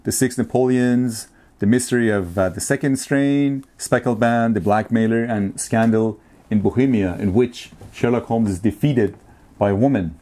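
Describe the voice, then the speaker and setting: A man in his forties chatting casually to camera as a vlogger, with an enthusiastic, appreciative and somewhat halting delivery. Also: medium pitch, dark tone. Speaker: vlogger showing a music and tape collection. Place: small home room, indoors.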